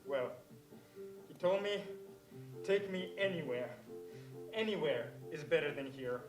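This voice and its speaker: A man's voice: fairly high pitch, lively intonation, unhurried. Man playing a laid-back dim driver. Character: dim, easygoing man who took someone away. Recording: distant, echoey, with guitar.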